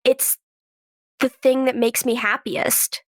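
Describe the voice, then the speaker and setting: Female interview guest, slightly high register, informal conversational storyteller, dry sound. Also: fairly even intonation, slow, no echo. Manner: chatty, informal, acts out quoted remarks. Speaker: podcast guest, actress and writer. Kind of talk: casual chat, personal storytelling.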